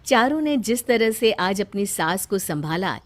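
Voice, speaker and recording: A woman's voice: medium pitch, very animated, unhurried. A female storyteller reads a scripted children's moral tale. She narrates the family story between the characters' lines.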